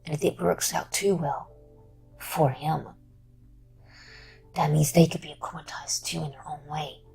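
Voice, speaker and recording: A woman voicing a worried, guilt-ridden young character in a fan audio drama, with halting delivery that slides into murmurs. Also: sits low, fairly even intonation, vocal fry, darkish tone, slightly rough, soft background music, full character voice. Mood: grim, hushed.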